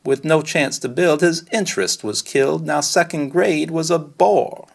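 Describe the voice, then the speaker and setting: A man reading a children's storybook aloud in an expressive, rhythmic, dramatic storytelling style. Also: a little high, moderate pace, vocal fry. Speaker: storybook reader.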